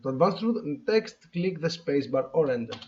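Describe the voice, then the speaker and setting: A man hosting a casual game playthrough, chatting haltingly and reading the on-screen text aloud with his own comments. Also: a little high, animated. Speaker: host of a gaming playthrough. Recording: dull lo-fi mic, music behind. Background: game background music.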